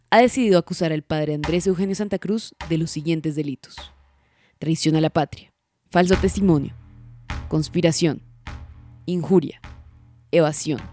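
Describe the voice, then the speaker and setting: Stern, low-voiced female prosecutor played by an actress. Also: mellow, lightly acted. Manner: formal, declaiming, reading out charges and questions. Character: stern, sanctimonious female prosecutor at a sham trial. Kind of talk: audio drama courtroom acting.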